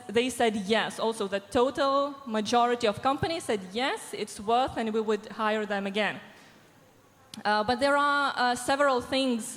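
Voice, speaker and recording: A woman, answering panel questions through a handheld mic, measured and pausing with many fillers. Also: on the high side, fairly bright tone. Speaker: panelist from a diversity organization. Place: large event hall stage. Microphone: handheld microphone. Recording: reverberant hall sound.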